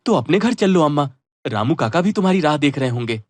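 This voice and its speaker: A man voicing a gentle, devoted young stepson in a Hindi cartoon story, with a husky voice. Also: a little high, big pitch swings, quick pace, no echo. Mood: gently coaxing.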